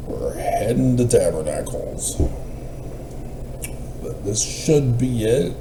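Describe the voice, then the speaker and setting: A man's voice: mid-range voice, sing-song, takes it slowly. A man giving a rambling solo monologue in the manner of a self-styled prophet, meandering and often trailing off mid-thought. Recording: roomy echo, clear background noise.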